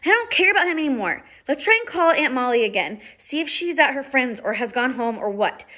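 Woman voicing a scared girl, high-pitched, stammering, breathless, bright. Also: lively intonation, a little fast, exaggerated voice. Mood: indignant. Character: frightened, indignant young girl.

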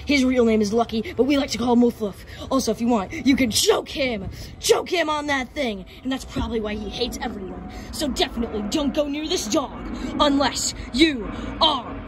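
A woman's voice: a little high, animated, moderate pace, noisy background, close to an everyday voice. A woman playing a brash, shady dog seller giving crude, flippant sales patter in an improvised comedy skit, recorded outdoors with amateur sound.

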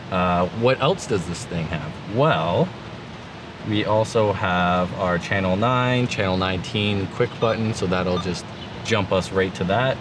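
A man in his thirties giving a casual, laid-back but enthusiastic product walkthrough in colloquial language, as a gear vlogger. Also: on the low side. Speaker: vlogger demonstrating vehicle gear. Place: inside a parked jeep with open door, outdoors. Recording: clear background noise, vehicle ambience.

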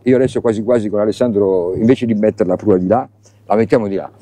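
A man's voice: on the low side, quick pace, mellow. A middle-aged man presenting a boat test at sea, talking casually and enthusiastically straight to the viewers. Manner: chatty, enthusiastic, addresses viewers directly. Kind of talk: casual on-board boat review. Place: open motorboat at sea, outdoors.

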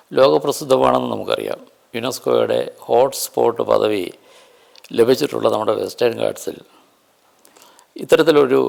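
A man presents an unscripted nature explanation in deliberate, halting phrases with emphatic stresses. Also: lowish voice, gravelly, a little mellow.

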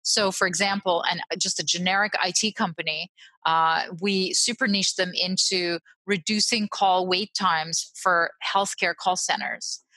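A woman's voice: on the low side, measured pace, no echo, low-quality recording. A woman in her forties, a guest on a video-call interview, speaking bluntly and animatedly through a headset mic in a small room. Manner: blunt, animated, self-interrupting, laughs often.

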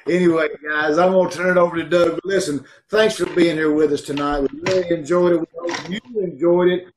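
A man's voice: fairly high pitch, steady tone, a little fast, crowd noise. A middle-aged man casually and jovially hosting a live sign-off on a video call, introducing an amateur cast. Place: room at home, indoors.

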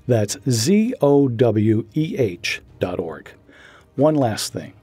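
Mellow, expressive male announcer. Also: medium pitch, slow, husky, no room sound. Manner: encouraging, earnest read. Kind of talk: scripted promotional announcement. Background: soft music.

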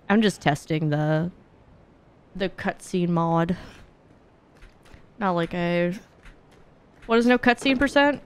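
A young woman gives casual commentary on a game stream. Her pitch swings widely as she reacts loudly and swears, though her talk is fairly unhurried. There is faint noise behind her. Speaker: livestream host and speedrunner. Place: indoors, gaming room at home.

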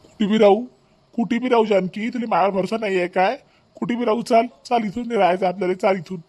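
A man's voice: sits high, steady tone, quick pace, darkish tone. A man playing an exasperated village husband and son in a comedy cartoon, repeating himself insistently in a Vidarbha Marathi accent. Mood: hurt, resolute.

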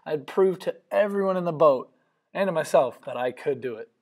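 A man's voice: fairly high pitch, expressive, a little fast. A young man telling a personal story as a voice-over, in an earnest, reflective way. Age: young adult. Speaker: narrator of a family video.

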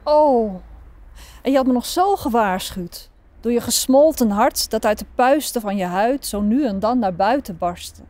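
A woman reciting a poem in a measured, deliberate way, drawing out some phrases. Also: on the high side, expressive, a little mellow. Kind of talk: poetry recitation, measured delivery.

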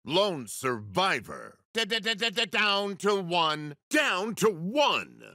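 A man voicing a corrupted battle announcer AI for a video game, with a raspy voice and booming, declarative, at times stuttering delivery. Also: huge pitch swings, takes it slowly, bright, forceful tone, no echo, clean studio sound, full character voice. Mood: dramatic, urgent. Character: corrupted, glitching battle announcer AI, dramatic and forceful.

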